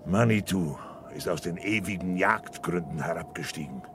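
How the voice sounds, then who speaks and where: An actor playing a grave, proud Navajo chief, solemn and curt, in a radio play. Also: male voice, low voice, normal speed, background noise. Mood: resigned, solemn. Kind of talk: 1980s German radio play acting.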